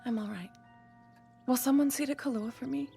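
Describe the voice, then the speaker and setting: Frightened, fragile teenage daughter, very high voice, halting and emotional, for radio drama. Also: female voice, gravelly, dark tone, husky, soft background music, heavily acted. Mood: shaken. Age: young adult. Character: terrified eighteen-year-old daughter, fragile and shaken.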